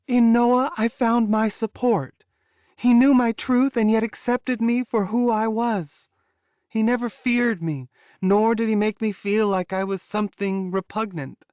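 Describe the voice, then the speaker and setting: A man performs a young werewolf woman in a fantasy audiobook, pitched well above an ordinary narrating voice. She speaks with raw, pleading earnestness about a painful past. The sound is dry and rather dull. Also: fairly even intonation, measured pace, a little mellow, clear, resonant tone, no room sound. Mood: tearful, thankful. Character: tearful young female werewolf confessing her painful past.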